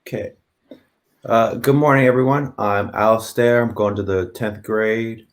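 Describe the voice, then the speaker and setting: A man's voice: fairly low pitch, fairly even intonation, unhurried. A teenage boy with an American accent moderating an online discussion, a little hesitant and restarting his sentences. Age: teens. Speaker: student moderator.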